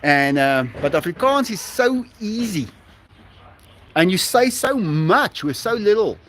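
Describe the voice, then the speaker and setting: A South African man chats casually with his livestream viewers in a high, lively voice. He speaks slowly, laughs often and keeps restarting his sentences. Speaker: livestream host. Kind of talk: casual live-stream chat with viewers.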